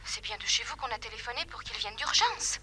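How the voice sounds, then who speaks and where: An actress playing a polite, worried young daughter in a 1960s French radio play, speaking insistently and courteously. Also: female voice, fairly high pitch, expressive, a little fast, a crowd in the background, lightly acted.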